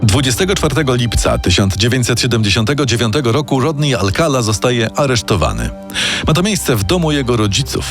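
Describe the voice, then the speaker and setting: A male narrator reading a scripted true-crime story. His pitch is medium but swings in a lively way, and music plays clearly behind him. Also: brisk.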